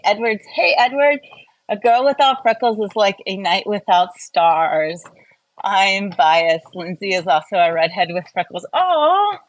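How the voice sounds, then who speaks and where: A woman's voice: mid-range voice, no echo, low-quality recording. Woman, mid-range voice, casual skincare vlogger, chatty, rambling, easily sidetracked. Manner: rambling, self-interrupting, easily distracted. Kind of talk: casual chatty live Q&A and product review.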